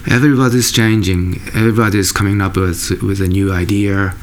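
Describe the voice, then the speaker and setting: Halting deep-voiced man. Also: steady tone. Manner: halting, searching for words, many fillers and restarts. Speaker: musician. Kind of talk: interview answers. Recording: faint background noise.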